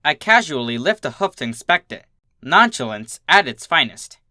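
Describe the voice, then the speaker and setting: Story narrator, medium pitch, lively intonation, bright tone, very dry close sound, expressive first-person reading. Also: moderate pace, no room sound.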